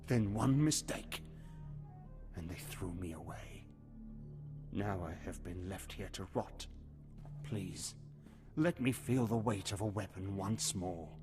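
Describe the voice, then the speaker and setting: An actor playing a desperate, disgraced veteran warrior held prisoner in a video game, solemn and pleading. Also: male voice, sits low, slow pace, long pauses. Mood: bitter pleading. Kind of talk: video game character acting. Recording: music behind the voice.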